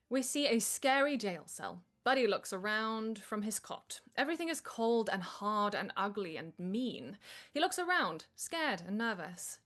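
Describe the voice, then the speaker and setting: A woman's voice: on the high side, fairly bright tone. A woman narrator reading screenplay stage directions aloud.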